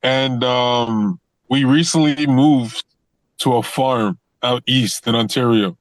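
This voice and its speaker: Young male wrestler guest, easygoing, rambling restarts, mid-pitched, dry mic. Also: slow pace, dry recording, rough recording. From Toronto, Canada. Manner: relaxed, repeats words and restarts often, laughs easily. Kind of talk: casual interview chat.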